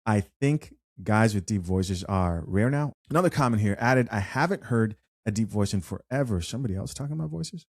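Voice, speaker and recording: A man hosting an unscripted commentary show, chatty and animated as he reads viewer comments aloud. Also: fairly low pitch, fairly quick, hardly any pauses, no echo, studio quality. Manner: chatty, animated, with frequent fillers and restarts. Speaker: host.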